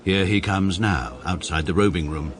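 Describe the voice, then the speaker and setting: A man's voice: low-pitched, normal speed, natural voice. A man playing a pompous dry English barrister. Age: 30s. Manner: dry, self-satisfied, deadpan. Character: pompous precise male barrister, thirty-three, dry. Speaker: narrator and lead. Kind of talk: radio drama first-person narration.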